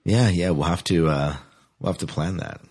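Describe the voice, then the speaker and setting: A man's voice: deep voice, moderate pace, a little mellow, breathy edge. A man co-hosting a sci-fi fan podcast, chatting casually and wandering into asides. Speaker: podcast co-host.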